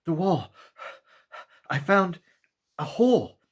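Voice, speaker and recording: A man's voice: fairly high pitch, sing-song, slow pace, slightly rough, voice pushed up, exaggerated voice. A man voicing an eager, restless young boy from a storybook, speaking in breathless spurts. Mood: urgent, excited.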